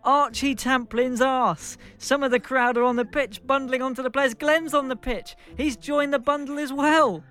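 High-voiced man narrating with mock drama. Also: fairly even intonation, moderate pace, few pauses, sharp tone, smooth. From England. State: slightly hoarse. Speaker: podcast co-host and game master. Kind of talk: comic storytelling narration of a football role-playing game.

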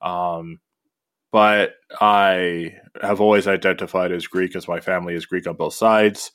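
Low-voiced man in thoughtful film chat. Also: steady tone, fairly bright tone, smooth. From born in South Africa, raised in Canada. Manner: thoughtful, measured, with frequent ums. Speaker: podcast co-host. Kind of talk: casual film discussion.

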